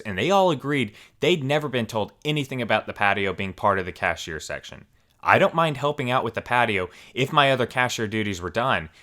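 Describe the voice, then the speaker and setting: A man hosting a story channel, reading forum posts aloud in a steady, even storytelling manner. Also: mid-range voice.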